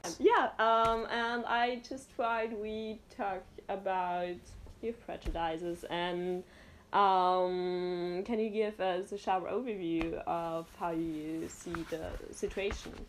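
A woman's voice: on the low side, steady tone, takes it slowly. A young woman from Austria interviewing a guest on a podcast, hesitant with many fillers and restarts. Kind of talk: casual conversation.

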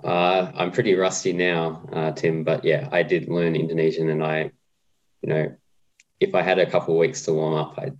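A man talking casually as an interview guest, relaxed and hesitant with frequent ums. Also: extremely low pitch, normal speed, lo-fi sound. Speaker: guest, co-op policy adviser.

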